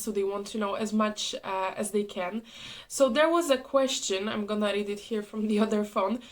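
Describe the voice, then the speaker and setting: A young woman, a former cabin crew member whose first language is not English, chatting casually to her viewers with many fillers and restarts, her voice a little hoarse from a cold. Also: medium pitch. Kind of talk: casual chat to camera.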